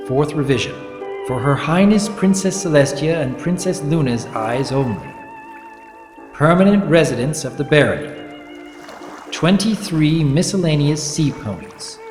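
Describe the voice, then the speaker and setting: A man voicing an earnest, lovestruck pony baron reading out a formal letter in a measured, heartfelt way, with reverb on the voice. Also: a little high, darkish tone, pitched above the performer's own voice. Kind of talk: dramatic reading of a storybook letter. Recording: echoey reverberant effect with music behind.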